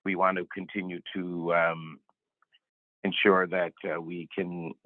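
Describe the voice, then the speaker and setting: A man with a voice raspy from a cold, giving halting welcome remarks as a health director. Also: sits low, flat delivery, no room sound. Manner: halting, many fillers. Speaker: health director and board member. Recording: tinny phone line.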